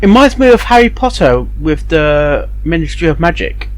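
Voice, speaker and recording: A man with a fairly high voice reacts casually to a story. His intonation is very animated, and he talks haltingly, like a host thinking aloud. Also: no room sound, poor audio.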